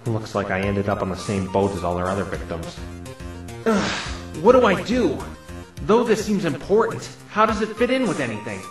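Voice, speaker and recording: Man acting young male lawyer, visual novel dub, earnest, expressive, incredulous, very animated intonation, flustered. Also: medium pitch, moderate pace, over music, natural voice. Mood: worried.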